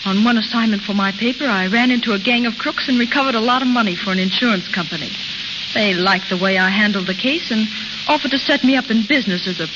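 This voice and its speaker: A woman's voice: mid-range voice, fast, close to an everyday voice. Actress as earnest lady detective, crackly old radio. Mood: earnest, pleading. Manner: earnest, firm. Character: composed, tender but firm woman private detective. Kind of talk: 1930s radio drama acting. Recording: dull, very hissy, crackling 1930s radio broadcast.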